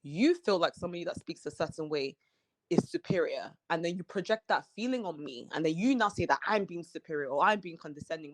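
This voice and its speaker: A woman's voice: on the low side, dry recording. Articulate woman, Nigerian-British accent. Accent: Nigerian and British mix. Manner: articulate, earnest, many "you know" fillers. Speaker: interview guest. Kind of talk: casual interview chat.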